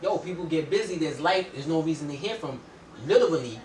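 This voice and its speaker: A man with a slightly high voice hosts a casual podcast chat, recorded away from the mic in an echoey room with faint noise. He talks in a slangy, reflective way. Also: animated, brisk, a little mellow. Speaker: podcast host. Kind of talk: casual conversation. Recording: roomy echo, distant mic, faint noise.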